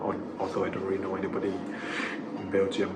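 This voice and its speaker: A man from Thailand, a native Thai speaker with a Thai accent, chatting casually as an interview guest in a halting way that repeats words. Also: sits low, monotone, over loud music. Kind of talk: casual chat. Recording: echoey room with clear background noise.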